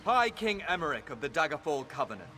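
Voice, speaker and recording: A formal game herald, fairly high and bright. He declaims ceremonially with a very lively rise and fall. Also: fast talker, soft background music. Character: formal male herald announcing royal rulers. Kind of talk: fantasy game dialogue acting.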